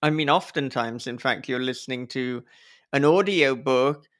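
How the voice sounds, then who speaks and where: A man's voice: mid-range voice, normal speed, fairly bright tone. A man hosting a casual podcast conversation, talking effusively in long, wordy sentences with the odd casual swear word. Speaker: podcast host and author.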